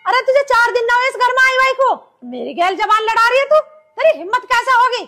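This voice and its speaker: A woman playing a sharp-tongued older mother-in-law in a family drama skit, nagging and scolding. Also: very high-pitched, animated, brisk, exaggerated voice. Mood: angry.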